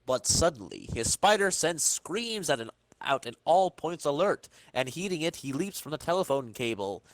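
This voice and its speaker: A male podcast host reads comic-book narration dramatically. His voice is fairly high and very animated, with a dry, close sound. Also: normal speed, no echo, rough recording.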